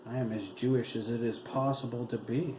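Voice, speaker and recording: A man reading the part of a calm, dignified young boy who speaks politely and quietly. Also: mid-range voice, a little fast, natural voice. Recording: roomy room with steady hiss.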